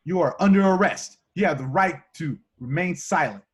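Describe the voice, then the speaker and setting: Man acting young streetwise cop, husky, slightly high voice, halting and questioning, insistent, amateur film table read. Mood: commanding.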